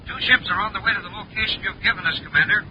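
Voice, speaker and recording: An actor playing a keen, crisp male space patrol officer in a 1950s radio serial. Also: sits high, rapid-fire, natural voice. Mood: brisk, official. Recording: muffled, noisy 1950s radio recording.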